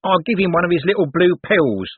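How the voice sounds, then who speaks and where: A scruffy, helpful corporal, voiced by a male narrator, chatters in a chirpy, lifted tone with mangled grammar. The sound is husky and tinny, as if phoned in. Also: high-pitched, expressive, brisk, no echo, voice pushed up, heavily acted.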